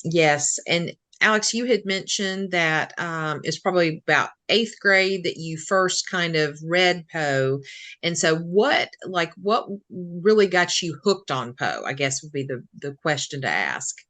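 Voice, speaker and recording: A woman co-hosting an interview show, enthusiastic and quick to agree. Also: fairly low pitch, lively intonation, pushed and bright. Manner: enthusiastic, quick affirmations and laughs. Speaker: podcast co-host. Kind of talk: casual interview chat.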